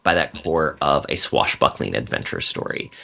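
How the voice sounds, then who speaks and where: A male novelist chats as a podcast guest. His voice sits very low, and he explains his work in an articulate, conversational way. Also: big pitch swings, normal speed, creaky, pushed and bright. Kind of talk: casual interview talk, articulate book pitch.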